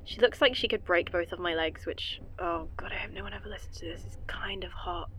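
A woman's voice: fairly low pitch, fairly quick, a bit bright, a little hiss or hum, lightly acted, low-quality recording. A woman playing an anxious, earnest young woman scientist in an audio drama, flustered and hesitant as she rambles. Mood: awkward musing.

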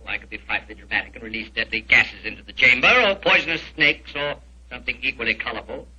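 Very bright forceful voice, courteous British villain, husky, theatrical, old radio sound. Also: male voice, medium pitch, quick pace. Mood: smug. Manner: silky, mockingly courteous, theatrical. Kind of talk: 1940s radio drama acting. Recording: dull old 1940s radio recording.

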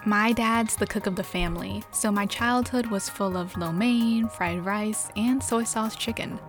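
A woman's voice: medium pitch, a little slow, faint music behind. Young New York woman, reflective. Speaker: journalist and podcast apprentice. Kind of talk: scripted personal narration.

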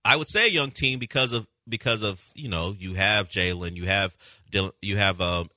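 A man co-hosting a sports talk radio show, giving measured analysis. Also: lowish voice, sharp tone, dry recording, clean studio sound.

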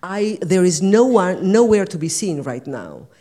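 Albanian woman, dark soft voice, halting. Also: fairly low pitch, sing-song. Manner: thoughtful, halting, searching for words. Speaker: writer on a panel. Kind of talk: reflective panel discussion. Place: lecture event before an audience.

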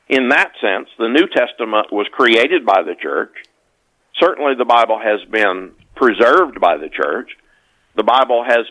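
A man's voice: medium pitch, a bit bright, no room sound, low-quality recording. A man speaking as an author guest on a podcast, explaining things conversationally and emphatically, often repeating and rephrasing his points.

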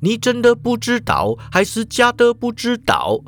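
A man's voice: very high voice, wildly swooping pitch, a little slow, fairly bright tone, voice pushed up, exaggerated voice. A blunt male secret agent scolds and lays out the facts in a raised, insistent voice, as a man puts him on in a story reading. He sounds stern and impatient. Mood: accusing. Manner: stern, accusing, lecturing.

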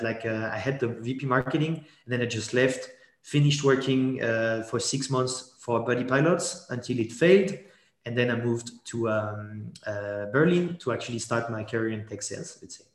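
A man's voice: mid-range voice, roomy sound. A man with a French accent, a native French speaker, talking as a podcast guest in a loose, rambling way full of restarts. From born in Geneva to French parents. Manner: rambling, many restarts and fillers. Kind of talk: casual podcast interview.

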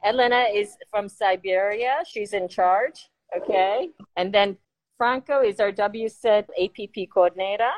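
A woman's voice: medium pitch, a little slow, dry recording. A woman hosting a casual live video chat, bubbly and teasing as she introduces people. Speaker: host.

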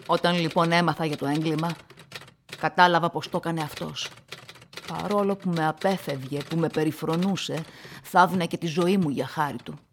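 A devoted, lovelorn character played by an actor confides in a radio-play monologue. The voice sits at a middle pitch over faint clicking noise. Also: fairly even intonation, natural voice. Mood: devoted, pained.

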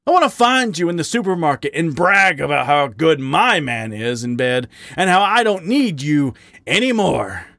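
A man voicing a bitter, volatile male badger who shouts in anguish and then turns weary. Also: a little high, expressive, normal speed, no echo, studio quality, pitched above the performer's own voice. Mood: pleading.

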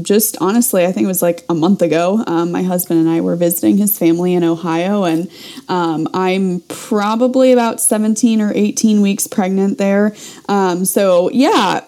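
A woman's voice: medium pitch, mellow. A young woman sharing earnestly in an online faith discussion, with lots of ums and restarts. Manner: earnest, many fillers and restarts. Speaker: guest participant.

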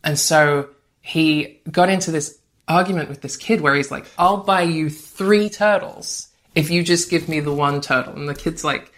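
A woman from Australia, a writer and podcast guest, telling anecdotes in a chatty, animated way. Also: low voice, normal speed. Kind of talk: casual anecdotal storytelling.

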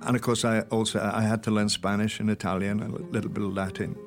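A man's voice: on the low side, flat delivery, quick pace, faint music behind. A man with a Welsh accent, an actor talking easily in an interview, telling stories with a wry humour. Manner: easygoing, wry storyteller. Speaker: actor guest. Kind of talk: relaxed interview chat.

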